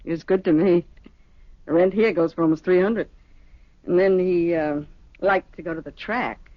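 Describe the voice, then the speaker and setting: An actress playing a breezy, worldly young mistress in a radio drama, speaking in casual, matter-of-fact slang. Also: female voice, on the low side, moderate pace, no room sound, natural voice. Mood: frank, casual. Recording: dull vintage radio recording.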